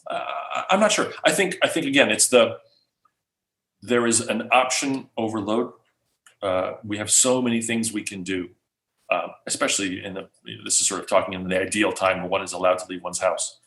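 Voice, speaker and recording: Guy answering q&a on a call, lots of restarts, kinda low voice, echoey. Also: moderate pace. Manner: animated, many restarts. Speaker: guest speaker. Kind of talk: casual Q&A answers. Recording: roomy echo.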